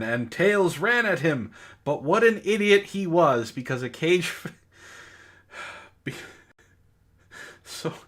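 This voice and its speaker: A man's voice: a little high, pushed and bright. A man reading bad fan fiction in mock-dramatic style, with exasperated, sarcastic asides. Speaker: reader on a comedy book club show.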